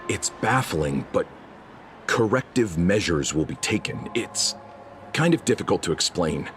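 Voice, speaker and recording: Evasive officer, very low, lively voice. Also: male voice, a little slow, soft background music, full character voice. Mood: polite, guarded. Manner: hesitant, deferential, guarded. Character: evasive, polite male security officer in a game. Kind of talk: scripted video game voice acting.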